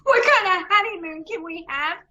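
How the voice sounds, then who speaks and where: Lady doing super high girlish american newlywed, bright n piercing, scared naive type, old radio play. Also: normal speed, a little hiss or hum. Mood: fearful, pleading. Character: frightened, naive, fretful young newlywed bride. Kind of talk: old-time radio comedy mystery acting.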